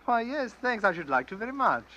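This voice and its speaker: An actor playing an affable, smooth-talking, faintly sinister gentleman stranger in an old-time radio drama, smoothly inquisitive. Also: high voice, big pitch swings, brisk, very noisy. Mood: jovial.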